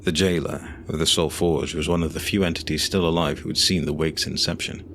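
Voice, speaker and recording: A man narrating a scripted fantasy audio drama in a measured, solemn way, over faint noise and ambience. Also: extremely low pitch, fairly even intonation, a bit bright.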